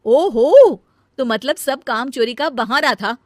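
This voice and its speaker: A fairly high female voice of a storyteller acting plays the responsible elder daughter-in-law. She speaks earnestly and with emphasis, quick to exasperation, in cartoon family-drama style. The sound is close and dry. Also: lively intonation, no echo. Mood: scolding. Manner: earnest, emphatic, scolding when annoyed. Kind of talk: acted Hindi family comedy story.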